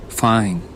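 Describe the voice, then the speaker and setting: An actor playing a bitter, world-weary mutant mercenary in an audio drama, grumbling with coarse sardonic resentment. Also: male voice, a bit bright, slight background noise. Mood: reluctant. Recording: music under the voice.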